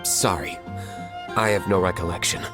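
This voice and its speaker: A man's voice: sits low, steady tone, moderate pace, lightly acted. A man voicing a stern, aloof young tavern owner in a video game, curt and cool. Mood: cold. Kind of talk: video game character acting. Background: background music.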